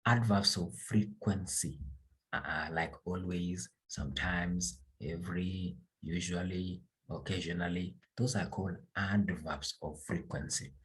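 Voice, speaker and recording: Husky low-voiced man teaching grammar. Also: a little mellow, low-quality recording. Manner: repeats key phrases, drills examples to a class. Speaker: English teacher. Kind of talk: classroom grammar lesson.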